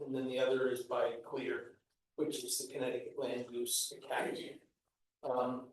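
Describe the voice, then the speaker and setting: A man on a town commission explains land use procedure at a measured pace, with plenty of ums and you-knows. He sounds far from the microphone in a very echoey meeting room. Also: mid-range voice. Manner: measured, explanatory, many fillers. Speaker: commission member. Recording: echoey room, distant mic.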